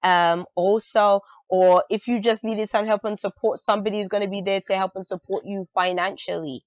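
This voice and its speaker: A woman with a cold and a raspy voice, chatting casually through a monthly tarot reading, full of "um" and "like". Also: mid-range voice, fairly even intonation, fairly quick, no echo, dull audio. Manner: chatty, many fillers like "um" and "like". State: has a cold, raspy voice, clearing throat. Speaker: tarot reader.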